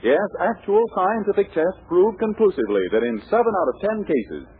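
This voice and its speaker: A man's voice: high-pitched, very animated, a little fast, a little hiss or hum. An American man working as a radio announcer, reading a script in 1940s radio style. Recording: tinny band-limited 1940s radio recording.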